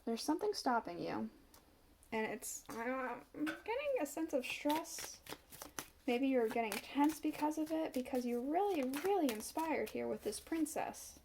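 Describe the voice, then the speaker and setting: A woman's voice: sits high, animated, measured pace, creaky, a little mellow, slight background noise. A woman doing a casual tarot reading to camera, a bit stuffed up from a cold and musing aloud as she turns the cards. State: stuffed up, slight cough. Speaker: tarot reader.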